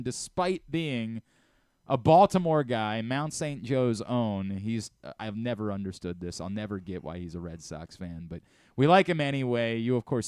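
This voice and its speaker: A man's voice: medium pitch, a little mellow, smooth, dry recording, studio quality. A man hosting a sports talk show, speaking casually and emphatically, often repeating a phrase to drive it home. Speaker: sports radio show host. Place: radio studio.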